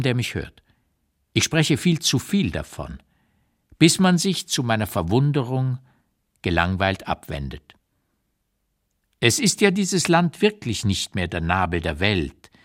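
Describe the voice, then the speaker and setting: An actor playing a blunt, opinionated old émigré writer who looks back in a deliberate, emphatic literary monologue for a radio play. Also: male voice, lowish voice, fairly bright tone, exaggerated voice. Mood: brooding, astonished.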